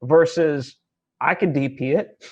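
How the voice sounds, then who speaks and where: A man hosting a casual interview, talking haltingly as he thinks aloud, peppering his speech with filler phrases. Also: fairly high pitch, darkish tone, dull audio, slight background noise. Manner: halting, thinking aloud, heavy use of "like" and "you know". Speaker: interview host, independent filmmaker. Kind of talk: casual chat.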